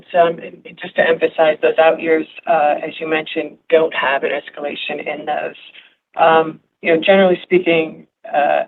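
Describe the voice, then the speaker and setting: A woman speaking as a finance executive on an earnings call, explaining in a halting, measured way. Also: low-pitched. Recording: phone-like conference line, noisy.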